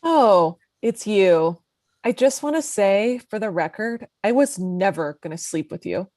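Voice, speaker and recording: A woman's voice: mid-range voice, animated, measured pace, darkish tone, no echo, clean studio sound, natural voice. An actress playing a blunt, teasing, dismissive woman in a comedy table read.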